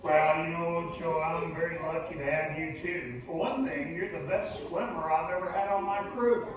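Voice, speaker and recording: High-voiced man teaching children in echoey hall. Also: steady tone, moderate pace, a crowd in the background. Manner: prompting, instructive, addresses children directly. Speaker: children's church puppet show host playing submarine captain. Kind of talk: interactive children's teaching with call and response. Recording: echoey hall from far off, muffled, noisy.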